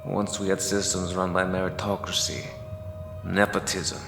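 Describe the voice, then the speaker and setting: An actor playing a stern, authoritative military major giving oratory in a dark fantasy audio drama. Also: male voice, low voice, monotone, moderate pace, vocal fry, roomy sound, big-room sound, over music. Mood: grim resolve. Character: commanding, stern military major plotting a coup.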